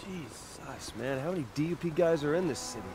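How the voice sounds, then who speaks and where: A young American street punk with superpowers, cocky and casual. His delivery swings freely in pitch as he wisecracks, with clear city noise and traffic behind him in the game. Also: animated, brisk. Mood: exasperated, questioning. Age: young adult. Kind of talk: video game character dialogue.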